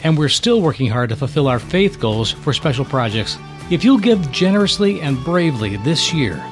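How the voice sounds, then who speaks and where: A man hosting a reflective radio program, explaining things and addressing listeners in an earnest way. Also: medium pitch. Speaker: radio show host and storyteller.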